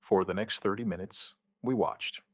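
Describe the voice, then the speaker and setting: Low gravelly man narrating a horror story. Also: fairly even intonation, no room sound, clean studio sound. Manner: grave, measured, in short clipped phrases with dramatic pauses. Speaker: first-person narrator of a survivor account. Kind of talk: scripted horror story narration in first person.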